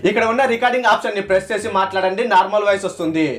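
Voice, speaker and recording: A man's voice: sits high, racing, a crowd in the background. A man narrating a scripted tech product review as a host, in a casual, playful way.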